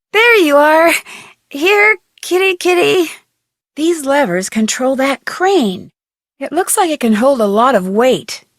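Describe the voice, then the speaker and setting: A young American amateur detective for an adventure game. She is inquisitive and chirpy, with a high, lively voice that rises and falls as she puzzles things out loud. The sound is close and dry. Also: no room sound, heavily acted. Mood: excited, startled. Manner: clearly enunciated, inquisitive musing to herself. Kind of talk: scripted adventure game character lines, thinking aloud.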